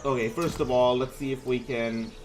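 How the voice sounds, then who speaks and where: A man's voice: medium pitch, steady tone, normal speed, over music, poor audio. A man streaming a game, reading aloud and commenting casually, often stumbling over words and repeating himself.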